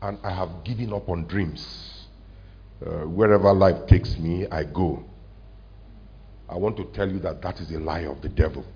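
A man, a pastor preaching a conversational sermon to his congregation, often asking them questions and slowing down to stress a point. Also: sits low, lo-fi sound. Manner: conversational, asks the congregation questions, slows for emphasis. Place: church hall with congregation.

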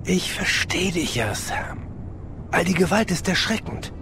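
An actor playing an easygoing, devoted police sergeant in an audio drama. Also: male voice, high voice, animated, unhurried, creaky, background noise, exaggerated voice. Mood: earnest, soothing.

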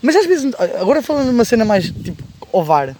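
A man's voice: very high-pitched, very animated, fast talker, a little mellow, slight background noise. A young man from Portugal with a European Portuguese accent, hosting a podcast in casual, slangy, joking chat. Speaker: podcast host.

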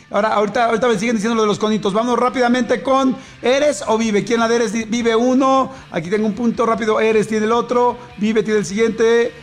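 Jokey high-voiced Mexican radio host. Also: male voice. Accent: Mexican Spanish. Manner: jokey, laughs often, rattles off messages fast. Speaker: radio show host reading listener messages. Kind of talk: casual morning radio banter.

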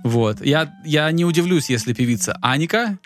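A man's voice: a little high, expressive, normal speed, faint music behind. A man casually telling a long story in a podcast chat, rambling and full of fillers. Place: Tbilisi.

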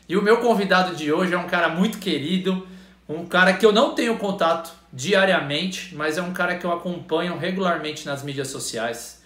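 High-voiced effusive Brazilian man hosting. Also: a bit bright, roomy sound. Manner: effusive, informal, slangy. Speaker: interview host, physical education professional. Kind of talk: enthusiastic online interview chat.

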